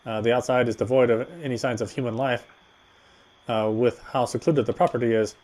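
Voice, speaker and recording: Male narrator for a horror visual novel; medium pitch, first-person, dramatic reading. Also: steady tone, a little fast, a little mellow.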